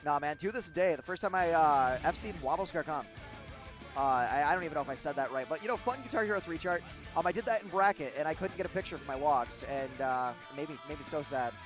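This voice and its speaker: Midwestern guy commentating a guitar game bracket, kinda high voice, loud guitar music under it. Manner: rambling, with fillers and restarts. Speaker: tournament commentator. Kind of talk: live esports play-by-play commentary.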